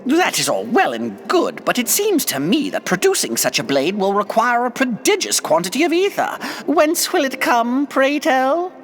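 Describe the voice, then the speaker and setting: A haughty young scholar from a fantasy game, with a fairly high voice that swoops dramatically. The delivery is formal and pointed, in a long reverb. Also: female voice, wildly swooping pitch, moderate pace, hardly any pauses, faint music behind. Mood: sceptical. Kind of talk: fantasy game cutscene acting.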